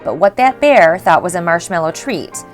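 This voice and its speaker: A woman reading a rhyming picture book aloud for children in an expressive, measured storytelling style. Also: fairly low pitch. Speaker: storybook reader. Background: faint background music.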